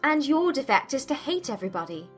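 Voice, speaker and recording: A woman acts out a spirited young Regency lady with a fairly high voice. She is witty and teasing, yet formal and articulate. Light music runs behind her in this audiobook drama. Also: very animated, fast talker. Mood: playfully challenging. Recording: music bed under the voice.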